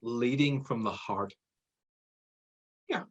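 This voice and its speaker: An Irish man answering podcast questions in a loose, chatty way, poking fun at himself and laughing easily. His voice is a little husky. He sits at a middle pitch. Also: slow pace, highs cut off. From Ireland. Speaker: guest, sales trainer. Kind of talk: casual podcast interview.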